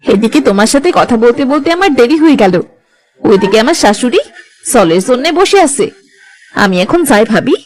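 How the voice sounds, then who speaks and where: Woman as a high, lively young village wife. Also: brisk, lightly acted. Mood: chatty. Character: dutiful, chatty young village daughter-in-law. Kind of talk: Bengali cartoon dialogue.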